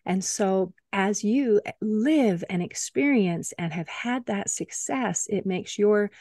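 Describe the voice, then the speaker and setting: A woman hosts a podcast in an enthusiastic, affirming way. Her voice is mid-pitched, and the recording is dry and close, with no room sound. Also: expressive, slow, a little mellow, dry recording. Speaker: podcast host. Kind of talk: casual interview talk.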